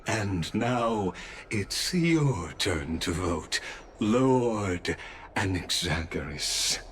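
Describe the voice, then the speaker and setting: A solemn male arbiter presides in a fantasy game. He speaks very slowly in a lowish voice, grave and ceremonial, over faint noise. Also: very animated, fairly bright tone, full character voice. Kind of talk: formal game voice acting.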